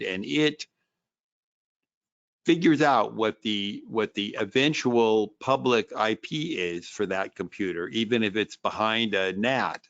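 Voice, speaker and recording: Male host, smooth and resonant, halting questioner, dry and dull call sound. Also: lowish voice, a bit bright, no echo. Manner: questioning, halting restarts, summarizing. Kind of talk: interviewing a guest on a video call.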